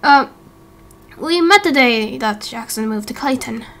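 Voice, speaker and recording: A woman voicing an uneasy young man turned angel in a game roleplay, hesitant and halting. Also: on the high side, lively intonation, a bit bright. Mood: awkward. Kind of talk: Minecraft roleplay voice acting.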